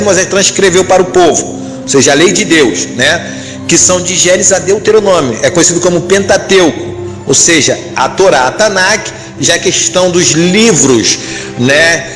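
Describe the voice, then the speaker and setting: A man's voice: fairly high pitch, a bit bright. A man speaking as a pastor in a religious discussion, didactic and clarifying, rephrasing his points as he goes. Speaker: pastor, radio discussion participant. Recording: echoey, long reverb, music underneath.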